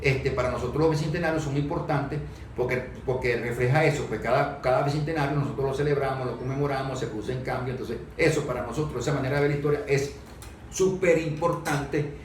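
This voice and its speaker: Halting man in an echoey room. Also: medium pitch. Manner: halting, repeats words while searching for phrasing. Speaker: guest commentator on history. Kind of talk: explanatory political and historical discussion. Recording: echoey room, distant microphone, background noise.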